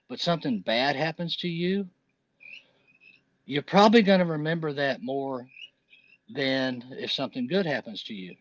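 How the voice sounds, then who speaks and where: A man's voice: mid-range voice, fairly bright tone, dry recording. A man in his fifties talking at length on a live audio chat, rambling and emphatic, with restarts. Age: 50s. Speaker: caller. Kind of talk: casual chat. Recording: dull lo-fi audio.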